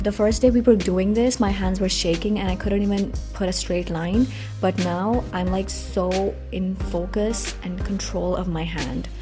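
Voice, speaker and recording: Young female art student vlogger, music behind. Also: on the low side. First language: Urdu. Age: young adult. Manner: chatty, mixes English with Urdu phrases. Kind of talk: casual vlog narration.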